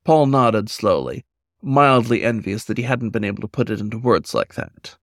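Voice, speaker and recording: A man's voice: lowish voice, animated, moderate pace, no echo. Man narrating a story, dry sound. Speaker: author reading own story.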